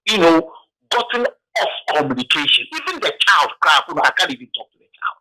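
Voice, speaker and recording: Animated man calling in. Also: fairly high pitch, low-quality recording. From Ghana. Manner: heavy, halting account with restarts. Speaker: caller. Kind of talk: phone-in call to a morning radio show.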